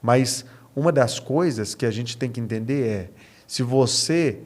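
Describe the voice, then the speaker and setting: A Brazilian man with a mid-pitched voice talks like a pastor in conversation. He is earnest and emphatic, making his points through personal stories and often checking in with short rhetorical questions. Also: a little slow. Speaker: pastor, podcast guest. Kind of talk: conversational preaching with personal anecdotes.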